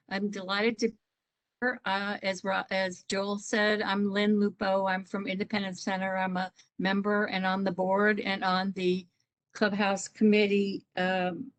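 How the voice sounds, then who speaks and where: A woman introducing herself in a slow, hesitant, halting way over a video call. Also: medium pitch, little pitch movement, no echo. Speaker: clubhouse member. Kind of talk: webinar introduction.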